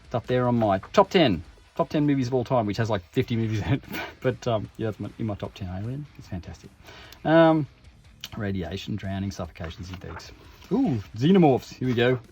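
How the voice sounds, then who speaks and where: A man's voice: mid-range voice, sing-song, fairly quick, a little mellow, soft background music. A man hosting an unboxing video with casual, informal commentary, full of jokey asides and self-interruptions. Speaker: host of tabletop game unboxing videos.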